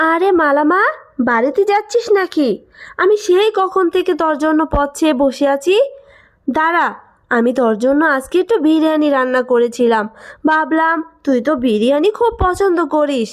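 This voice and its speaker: A woman voicing a greedy, scheming village aunt in a cartoon melodrama, with a wheedling, spiteful manner. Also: high-pitched. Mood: falsely sweet.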